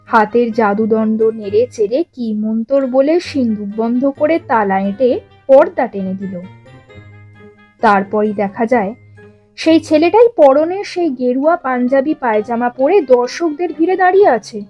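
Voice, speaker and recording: High-voiced woman telling a story. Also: expressive, a little slow, dark tone, dull audio, soft background music. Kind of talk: expressive scripted story narration.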